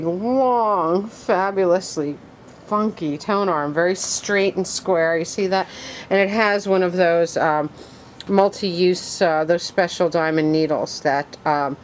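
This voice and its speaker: Excited, low-pitched woman vlogging. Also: slight background noise. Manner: excited, gushing, halting. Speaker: record player collector, vlogger. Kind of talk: casual show-and-tell vlog.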